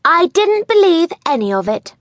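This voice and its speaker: A woman's voice: extremely high pitch, sing-song, moderate pace, no room sound, pitched above the performer's own voice. A woman voicing a dainty, snooty young girl in a children's audiobook, haughty, emphatic and dismissive. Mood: scornful.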